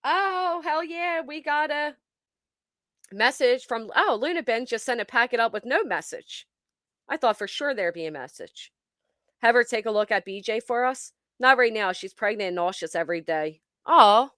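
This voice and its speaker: A woman's voice: fairly high pitch, lively intonation, normal speed, a bit bright, no room sound, studio quality. A woman hosting a commentary stream, talking bluntly and scornfully and swearing freely. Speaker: commentary channel host. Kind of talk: casual reaction commentary.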